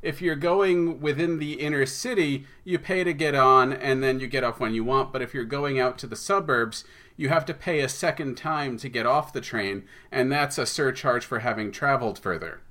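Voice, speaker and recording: A man's voice: mid-range voice, moderate pace, pushed and bright. A man speaking as an interview guest, explaining and telling stories in a conversational way. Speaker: podcast guest, audio drama writer.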